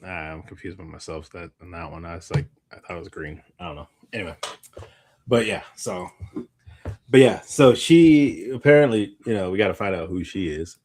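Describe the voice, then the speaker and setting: A man's voice: low-pitched, lively intonation, moderate pace, darkish tone, slight background noise. A man co-hosting a movie discussion, chatting casually in a rambling way as he searches for words. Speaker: co-host of a movie discussion show. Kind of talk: casual chat.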